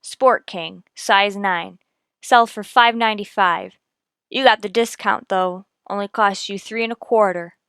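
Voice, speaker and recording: A woman's voice: medium pitch, a little slow, a bit bright, clear, resonant tone, dry recording, studio quality. A woman playing a no-nonsense, methodical police detective in a radio drama, clipped and matter-of-fact. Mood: pressing. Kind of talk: old-time radio police drama, scripted narration and dialogue.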